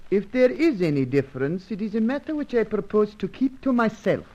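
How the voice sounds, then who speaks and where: A man's voice: high-pitched, animated, brisk, warm tone, muffled recording. A man playing a loyal, shady South American manservant in a spy radio serial, speaking in formal, ornate, deferential phrases. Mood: formal, guarded. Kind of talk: old-time spy radio serial acting.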